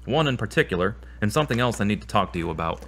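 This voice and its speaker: A man's voice: on the low side, lively intonation, racing, creaky. A man acting as a troubled, self-doubting therapy patient in a scripted audio drama, speaking in an earnest, confessional way. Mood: frustrated, uneasy. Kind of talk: scripted audio drama dialogue and spoken prayer.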